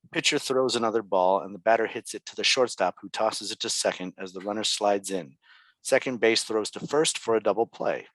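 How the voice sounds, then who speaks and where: A man's voice: fairly low pitch, a little fast, a bit bright, no echo, rough recording. A man narrating stage directions at a comedy script table read.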